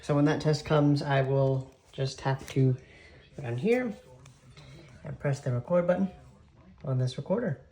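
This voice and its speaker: A man giving an informal, halting show-and-tell narration as a radio hobbyist. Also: mid-range voice, mellow. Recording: clearly noisy with clicks. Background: weather radio playing.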